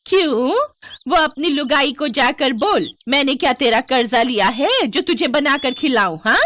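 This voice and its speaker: A woman voicing a grumpy, stingy, devout old village woman who scolds and exclaims in an animated moral story. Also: sits high, normal speed, noisy background, pitched above the performer's own voice. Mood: scolding.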